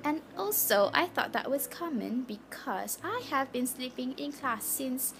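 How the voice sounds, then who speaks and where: A woman's voice: high voice, animated, unhurried, creaky. Hesitant young Malaysian woman over music. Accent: Malaysian English. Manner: hesitant, chatty, apologetic, with many restarts. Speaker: vlogger sharing her cancer story. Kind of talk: casual personal vlog. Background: background music.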